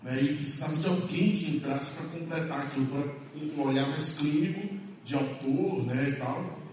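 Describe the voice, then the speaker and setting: A man's voice: a little high, normal speed, a little mellow, slight background noise. A man from Salvador, an actor speaking at a press conference, emphatic and passionate, repeating phrases for stress. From Salvador, Bahia, Brazil. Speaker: stage and TV actor. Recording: echoey hall, mic far off.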